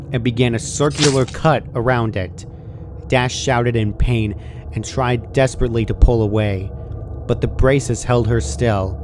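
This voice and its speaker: A man reading a scripted story aloud as its narrator. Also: medium pitch. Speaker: fan fiction narrator. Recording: clearly noisy home recording.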